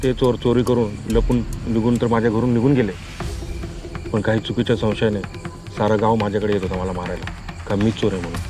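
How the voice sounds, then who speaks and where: A man's voice: steady tone, a little fast, lightly acted. A man playing a sorrowful old village widower. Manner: low, heavy, mournful telling. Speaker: village man in a short drama. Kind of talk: drama monologue recounting the past. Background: music.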